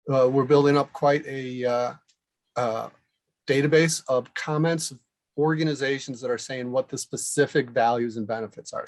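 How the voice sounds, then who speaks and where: Guy hosting an online panel, medium pitch, kinda measured and halting, semi scripted presenting. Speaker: host and moderator, association director.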